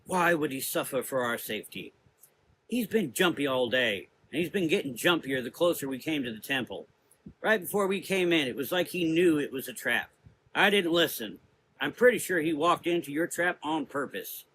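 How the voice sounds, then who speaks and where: A man playing a blunt, high-pitched warrior woman. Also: lively intonation, normal speed, fairly bright tone, slight background noise, poor audio. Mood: earnest. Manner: blunt, informal, earnest. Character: loyal, blunt, defiant young woman warrior.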